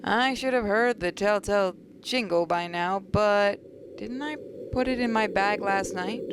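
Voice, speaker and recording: A woman's voice: mid-range voice, measured pace, very noisy. A woman hosting a gaming video, reading a visual novel aloud with asides and occasional stumbles. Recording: voice over game music.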